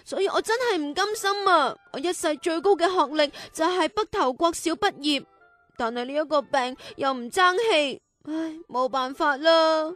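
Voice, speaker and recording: A woman's voice: high-pitched, moderate pace, a bit bright, faint music behind. A woman playing an earnest, sorrowful young girl in a children's radio drama, childlike and plaintive.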